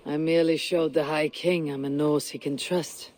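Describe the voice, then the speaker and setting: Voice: mid-range voice, flat delivery, moderate pace, darkish tone, lightly acted. A voice actor playing a blunt, confident Viking raider leader in a game cutscene, with dry wit. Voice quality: blunt, confident, dryly witty. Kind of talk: video game cutscene acting.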